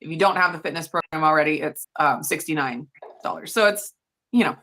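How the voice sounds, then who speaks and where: A woman's voice: sits low, brisk, fairly bright tone. A woman in her thirties chatting casually as co-host, talking loosely with plenty of fillers and frequent laughs. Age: 30s. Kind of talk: casual chat.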